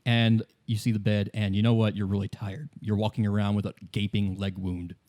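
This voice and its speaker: A man narrating a tabletop game in the second person as game master, improvising in a halting way and repeating phrases. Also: on the low side, little pitch movement, darkish tone.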